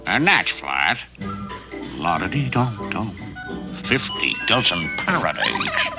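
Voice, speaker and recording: A man's voice: lowish voice, huge pitch swings, slow, creaky, heavily acted. An actor voicing a grumbling, reluctant male crook in a 1960s TV cartoon, with a sarcastic drawl. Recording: music bed under dialogue.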